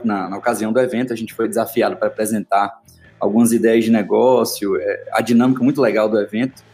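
A man's voice: mid-range voice, darkish tone. Friendly Bahian man telling a story. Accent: Bahian Brazilian Portuguese. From Salvador, Bahia, Brazil. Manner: friendly, rambling, many fillers. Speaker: podcast guest, entrepreneur. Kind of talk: casual storytelling interview.

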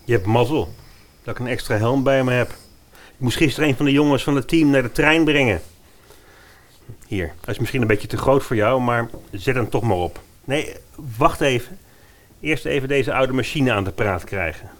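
A man's voice: mid-range voice, moderate pace, close to an everyday voice. A man playing a young, earnest, broke personal trainer and biker in a radio play. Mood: practical.

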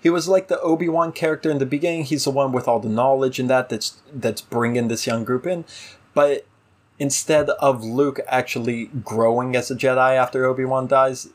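A man's voice: mid-range voice, fairly even intonation, moderate pace. A man co-hosting a podcast, casually discussing television with opinionated, emphatic delivery. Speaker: podcast co-host.

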